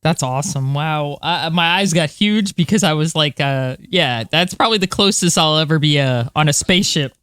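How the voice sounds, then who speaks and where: Bright, higher-pitched man chatting casually. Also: moderate pace, few pauses, smooth, no room sound, studio quality. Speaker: podcast co-host. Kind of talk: casual chat.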